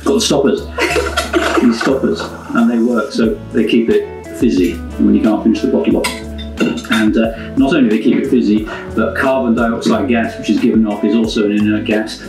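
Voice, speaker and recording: A man's voice: on the low side, creaky, far from the mic. An older man in his sixties, chatting casually and explaining things while cooking at home, laughing often. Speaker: wine merchant vlogger. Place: home kitchen. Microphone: far camera. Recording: roomy kitchen from afar, music behind.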